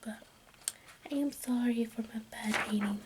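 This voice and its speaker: A woman reading aloud in a breathy whisper for ASMR, haltingly and with small stumbles over the words. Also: on the high side. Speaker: host of an ASMR channel. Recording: steady background noise, room ambience.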